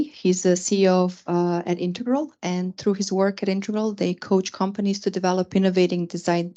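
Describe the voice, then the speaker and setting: A woman's voice: medium pitch, warm tone, highs cut off. A woman moderating a conference panel, formally introducing the panelists and asking questions. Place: event venue.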